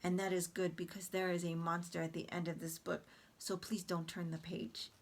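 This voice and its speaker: A woman's voice: little pitch movement, normal speed. A woman voicing a dramatic, easily scared furry male monster who pleads in an exaggerated way. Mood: hushed pleading. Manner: exaggerated, pleading, high and loud.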